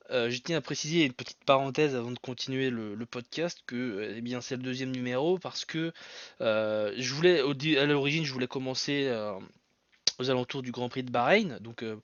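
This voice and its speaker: A man's voice: mid-range voice, no echo, muffled recording. A man hosting his own podcast alone, with a Portuguese mother tongue, talking in a hesitant way full of fillers and restarts. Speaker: podcast host. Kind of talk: solo podcast talk.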